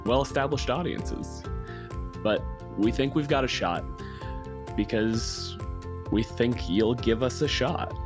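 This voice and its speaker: A man as co-host and game master, moving between tabletop narration and casual host talk. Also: mid-range voice.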